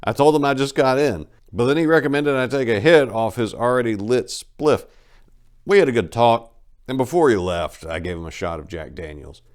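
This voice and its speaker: A man's voice: mid-range voice, normal speed, smooth. A man speaking as host and dramatic reader, with theatrical, emphatic phrasing. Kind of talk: dramatic audiobook reading.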